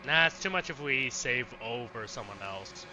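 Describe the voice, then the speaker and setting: A man's voice: medium pitch, expressive, normal speed, very noisy. A man streaming a let's play, commenting casually and bluntly and thinking aloud as he goes. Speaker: gaming streamer.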